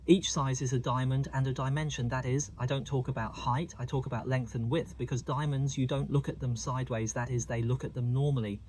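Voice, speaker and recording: Mellow-voiced man explaining diamond prices. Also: medium pitch, fairly even intonation, brisk, few pauses, slight background noise. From Hong Kong. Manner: chatty, asks the viewer questions. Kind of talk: explaining price charts to camera.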